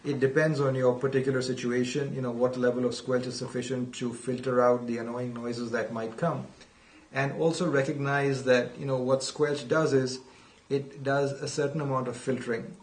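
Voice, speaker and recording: A man's voice: medium pitch, level tone, moderate pace. A man giving an unscripted product demonstration as a company presenter, explaining things methodically. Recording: roomy, distant from the mic.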